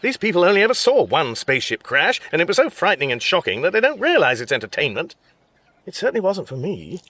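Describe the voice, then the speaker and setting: A man's voice: a little high, wildly swooping pitch, fast, pushed and bright, dry recording, full character voice. An actor playing a fussy, exasperated middle-aged father with an English accent, dry and pedantic, in a radio comedy drama. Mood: testy.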